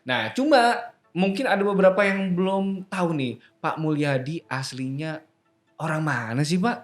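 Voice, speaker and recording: A man's voice: a little high, normal speed. A man hosting a casual company podcast, teasing his guest and laughing often. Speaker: podcast host. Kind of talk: casual corporate podcast interview. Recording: roomy studio with faint music.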